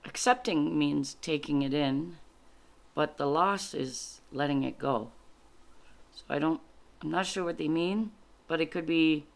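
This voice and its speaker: A woman whose first language is French gives informal spiritual guidance as a card reader, reflective and halting, explaining things in short phrases. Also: low voice, vocal fry. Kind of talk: informal spiritual guidance, reading cards aloud and commenting.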